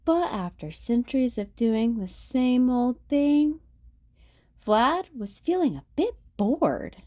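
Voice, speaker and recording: A woman reads a children's picture book aloud at an unhurried pace, her pitch rising and falling in a lively storytelling way. The sound is dry and close. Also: no echo. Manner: expressive, sing-song storytelling.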